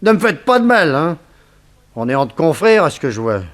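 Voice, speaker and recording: Higher-voiced, cheeky captive crook. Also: male voice, big pitch swings, moderate pace. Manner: cheeky, whining, stammering under threat. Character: wily tough guy posing as whining captured crook. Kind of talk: 1960s French radio crime serial acting.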